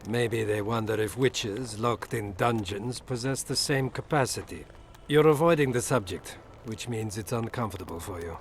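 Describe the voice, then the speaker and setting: An actor playing a suave, middle-aged imperial ambassador in a video game, formal, measured and insinuating. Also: male voice, on the low side, slight background noise, close to an everyday voice. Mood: politely probing. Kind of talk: video game dialogue acting. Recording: music behind the voice.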